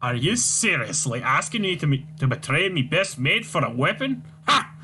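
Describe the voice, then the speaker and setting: A man reading a comic aloud, voicing a gruff Scottish demolitions man with a low burr. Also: sing-song, normal speed, bright, forceful tone, soft background music, exaggerated voice.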